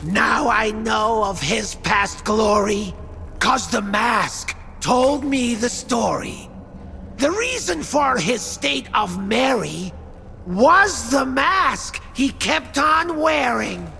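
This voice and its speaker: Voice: mid-range voice, lively intonation, takes it slowly, creaky, bright, forceful tone, big-room sound, faint music behind, exaggerated voice. An actor playing a manic, torture-loving masked executioner in a video game, speaking in theatrical sing-song rhymes. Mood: gleeful, sing-song. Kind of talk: video game character acting.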